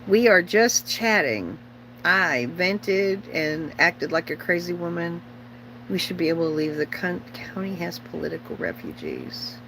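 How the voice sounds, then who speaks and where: A woman's voice: lowish voice, very animated, unhurried, background noise. A middle-aged woman chatting casually on a livestream as host, rambling and opinionated, bluntly repeating herself for emphasis.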